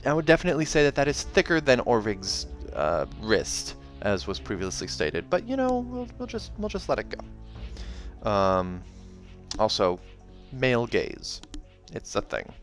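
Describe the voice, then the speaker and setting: A man reading a fantasy novel aloud in an expressive narrating style, as a reader who also comments casually. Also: medium pitch, measured pace, faint music behind.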